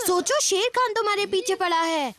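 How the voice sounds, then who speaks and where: Very high-pitched cartoon she-wolf. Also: normal speed. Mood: encouraging. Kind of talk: Hindi-dubbed cartoon voice acting.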